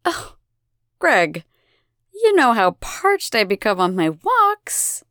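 Lazy, commanding goddess, sugary mockery, voice pitched up, dry close sound, read by a woman. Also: wildly swooping pitch, slow, fairly bright tone, no echo, full character voice. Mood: teasing. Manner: drawling, mockingly sweet. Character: languid, imperious woman goddess, teasing.

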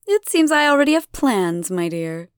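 A woman's voice: sits high, sing-song, normal speed, smooth, no echo, clean studio sound, voice pushed up. A woman doing a teasing, imperious goddess. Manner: drawling, mockingly sweet.

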